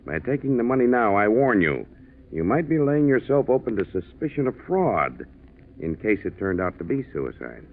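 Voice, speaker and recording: An actor playing a courteous, careful insurance official in a 1940s radio mystery, formal and placating. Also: male voice, fairly low pitch, very animated, moderate pace. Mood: cautioning. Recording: narrow, noisy 1940s radio recording.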